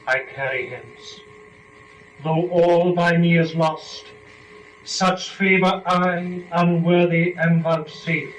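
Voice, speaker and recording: A man performing solemn epic verse as archangel and Eve, in a measured, declamatory dramatic reading. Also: on the high side, a bit bright. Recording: roomy, distant, muffled, faint noise.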